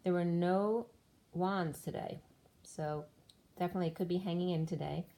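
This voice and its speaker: A woman's voice: fairly low pitch, fairly even intonation, normal speed, dark tone. A woman giving a solo spiritual tarot reading, explaining cards and numbers one by one while losing her voice. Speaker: tarot reader.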